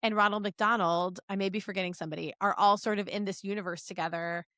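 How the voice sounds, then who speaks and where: A woman with a medium-pitched voice chats casually as a comedy podcast co-host. The sound is dry and close, with hardly any room. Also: steady tone, quick pace, fairly bright tone, no room sound.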